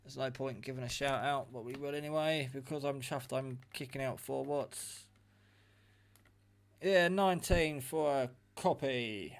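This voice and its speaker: A man's voice: medium pitch, unhurried. A man casually narrating a hands-on gadget test, chatty and rambling, with a matter-of-fact, self-deprecating manner. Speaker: radio hobbyist demonstrating equipment. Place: indoors at a table at home. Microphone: handheld phone camera, operator off screen.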